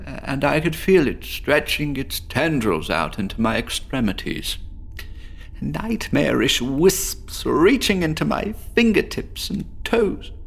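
A man's voice: on the high side, vocal fry, exaggerated voice. A man playing a troubled psychiatric patient in a horror audio drama, agitated and stammering as he confesses his tale. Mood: haunted, tense. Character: troubled one-armed male patient haunted by an inner voice.

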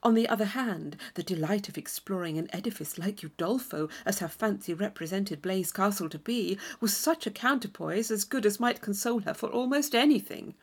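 A woman's voice: medium pitch, normal speed, hardly any pauses, breathy edge. A woman reading a classic novel aloud as scripted audiobook narration.

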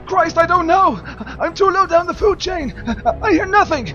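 Henchman for audio drama: extremely high, frantic, pleading, muffled recording. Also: normal speed. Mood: panicked pleading. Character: panicked, squealing low-level criminal henchman. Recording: dull sound, loud music.

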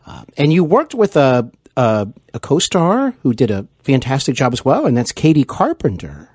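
A man's voice: medium pitch, huge pitch swings, normal speed, darkish tone, slightly rough, dry recording. A man with a mid-range voice hosts an interview podcast in a dry recording. He speaks conversationally and effusively, stopping and repeating words as he feels for the next phrase.

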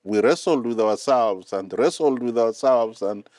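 A man's voice: medium pitch, measured pace, darkish tone, no room sound, clean studio sound. A man from Kenya with a Kenyan accent, speaking as a guest in a conversational interview, earnest and often repeating words as he gathers his thoughts. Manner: earnest, repeats words while finding them. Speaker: guest, mission director.

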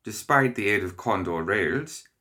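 A man's voice: on the low side, lively intonation, unhurried, bright, forceful tone. A man voicing a nervous, formal lawyer who speaks deferentially.

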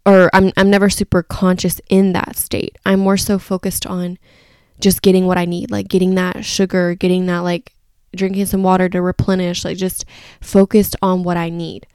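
Creaky-voiced woman chatting on a podcast. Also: fairly low pitch, little pitch movement, moderate pace, darkish tone, no room sound, clean studio sound. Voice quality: slightly groggy morning voice. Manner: chatty, rambling, candid, with casual swearing. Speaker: podcast host. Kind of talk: casual solo podcast chat.

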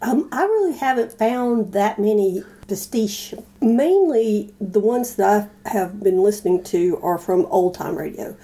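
A woman talking casually as a podcast guest, explaining things in a halting way. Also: mid-range voice, lively intonation, dark tone. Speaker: podcast guest, old-time radio fan. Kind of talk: casual conversation.

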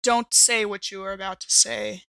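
A woman's voice: medium pitch, fairly even intonation, normal speed, vocal fry, bright, forceful tone, no room sound, low-quality recording. A woman improvising casually in character as a player in a tabletop horror game.

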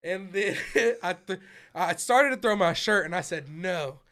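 A man's voice: high voice, lively intonation, a little slow, a bit bright. A man in his twenties, a guest musician, chatting casually, laughing often and saying "dude" a lot. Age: 20s. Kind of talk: casual chat.

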